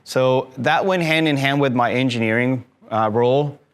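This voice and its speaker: A man's voice: medium pitch, normal speed, smooth. A man in his thirties from Mexico talks to a room full of listeners. His voice sits in a medium range. He explains things in a friendly way, with plenty of "um"s along the way. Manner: friendly, explanatory, frequent fillers. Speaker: guest speaker, tour company owner. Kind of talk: conversational talk to a live audience. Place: event room with an audience.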